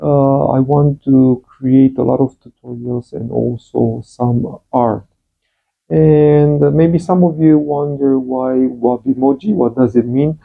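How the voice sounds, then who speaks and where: A man with a Romanian accent introduces his channel, halting often and filling gaps with soft fillers. His voice has a gravelly fry, and he speaks slowly. The sound is thin and phone-like. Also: mid-range voice, fairly even intonation. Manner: slow and halting, many uh fillers. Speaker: channel host, calligraphy teacher. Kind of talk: hesitant personal introduction.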